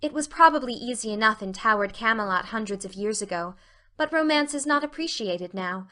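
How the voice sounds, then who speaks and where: A spirited teenage girl as a woman reader plays her in an audiobook. Her voice is bright and fairly high, and she speaks with earnest, theatrical emphasis. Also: animated, a little fast. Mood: optimistic. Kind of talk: audiobook character acting.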